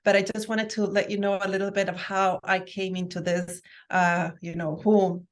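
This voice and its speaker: A woman's voice: medium pitch, little pitch movement, normal speed. A woman from Guatemala, telling her story conversationally as an interview guest, in an enthusiastic, emphatic way. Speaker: guest, family constellations practitioner and life coach.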